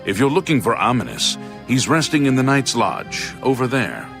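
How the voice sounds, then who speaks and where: An actor playing a brisk, matter-of-fact castle town guard in a fantasy game, speaking plainly and in a businesslike way. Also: male voice, medium pitch, wildly swooping pitch, gravelly. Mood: businesslike, appreciative. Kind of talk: fantasy video game acting. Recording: music behind the voice.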